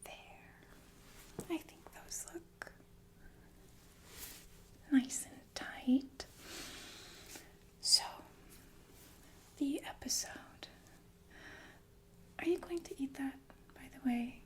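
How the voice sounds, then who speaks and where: A woman playing a sinister, falsely soothing killer nurse in an ASMR roleplay, speaking in a genteel, deliberate breathy whisper with murmured asides. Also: high-pitched, darkish tone. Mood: calmly menacing. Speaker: ASMR performer.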